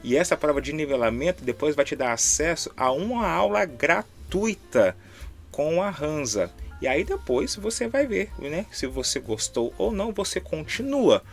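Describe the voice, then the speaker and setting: A man's voice: fairly high pitch, sing-song, normal speed, faint music behind. A middle-aged Brazilian man co-hosting in casual chat, speaking Brazilian Portuguese in an earnest, encouraging way. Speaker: co-host.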